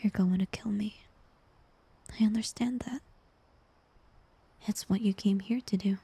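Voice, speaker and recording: A woman playing a tender, heartbroken bard facing a former lover in an intimate fantasy ASMR roleplay, speaking softly and wistfully in a breathy near-whisper. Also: medium pitch, steady tone, heavily acted. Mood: pleading resignation. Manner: slow, gentle, wistful delivery with pauses.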